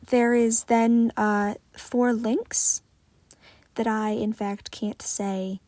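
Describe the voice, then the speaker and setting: A woman's voice: a little high, a little mellow, no echo. A woman reading fan fiction aloud as a podfic narrator, with casual, informal framing. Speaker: podfic reader.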